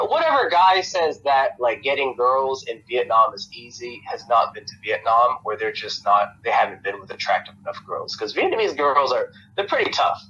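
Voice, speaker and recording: A man's voice: mid-range voice, bright, forceful tone, husky, highs cut off. A young American man, a podcast guest, talking casually in a rambling way with lots of fillers and restarts. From United States. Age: young adult.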